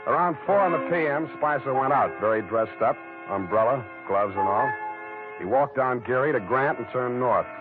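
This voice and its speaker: An American actor playing a wry, tough private detective in 1940s radio style, laconic and wisecracking. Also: male voice, medium pitch, animated, normal speed, lightly acted. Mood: brisk narrating. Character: wry hard-boiled male private detective narrating his case. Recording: tinny old radio broadcast, loud music.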